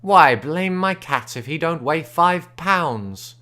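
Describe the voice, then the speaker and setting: A man's voice: on the high side, lively intonation, moderate pace, fairly bright tone, pitched above the performer's own voice. A man voicing a boastful, easygoing frontier gambler who talks with a folksy drawl and backwoods grammar. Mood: easy, bragging.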